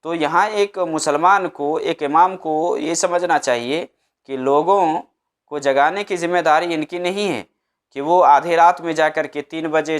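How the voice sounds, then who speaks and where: A male Islamic preacher explains a religious ruling. His voice sits a little high and rings clean and resonant. He teaches emphatically, restating each point in short phrases. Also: clean tone. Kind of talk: religious advice talk.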